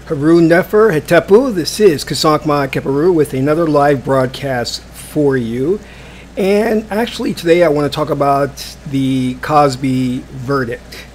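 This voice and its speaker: A man with a slightly higher-than-usual voice hosts a casual live broadcast from a warm room, sounding a little stuffy as he gets over a cold. He talks in a halting way, full of ums and restarts. A faint hiss sits behind the voice. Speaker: host of a live online broadcast. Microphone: adjustable microphone.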